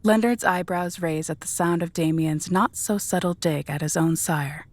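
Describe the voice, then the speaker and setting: A woman reading scripted narration for a dark audio drama in a measured, descriptive storytelling manner. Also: on the low side, no room sound.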